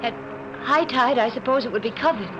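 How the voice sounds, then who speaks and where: Radio mystery lead: anxious, suspicious new bride played by a woman, highish voice, halting delivery, very noisy vintage recording. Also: highs cut off, close to an everyday voice. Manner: hesitant, faltering, repeating words. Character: anxious, suspicious new wife narrating her dark marriage. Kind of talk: 1940s radio acting.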